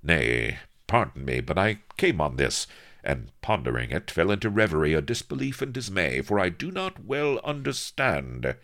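A man voicing a cunning, mock-humble captain in a story, flattering in flowery archaic courtly speech. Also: very deep voice, measured pace, gravelly, exaggerated voice. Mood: contrite, puzzled.

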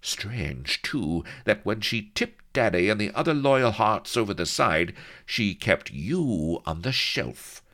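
A man voicing a haughty, jealous high-bred English lady who scolds indignantly. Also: sing-song, takes it slowly, fairly bright tone, heavily acted.

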